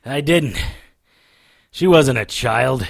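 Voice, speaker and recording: Guy acting as hard-bitten detective man in a radio play, gruff n curt, sardonic, medium pitch. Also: takes it slowly. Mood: curt, bitter. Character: hard-bitten, stubborn, experienced male private investigator. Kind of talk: audio drama acting with first-person narration.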